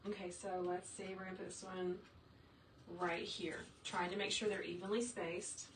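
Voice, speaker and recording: A woman's voice: on the low side, level tone, moderate pace. A woman chatting upbeat and casual as she teaches wreath making in her home workshop, talking straight to viewers and answering their comments. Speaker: wreath maker teaching a craft. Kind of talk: casual livestream tutorial reading viewer comments. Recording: roomy, distant sound with faint room noise.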